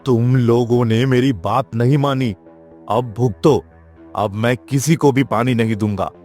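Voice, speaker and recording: A man playing an authoritative village figure in a moral-story cartoon, speaking in a deliberate, declamatory way. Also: mid-range voice, steady tone, a little mellow, soft background music, lightly acted. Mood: menacing. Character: domineering landlord and earnest village headman in a cartoon.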